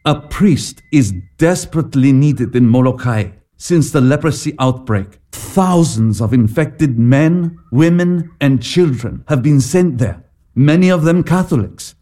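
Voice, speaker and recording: An actor playing a grave, authoritative bishop who gives measured instructions in an audio drama, with music beneath. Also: male voice, fairly high pitch, lively intonation, warm tone, no echo, clean studio sound.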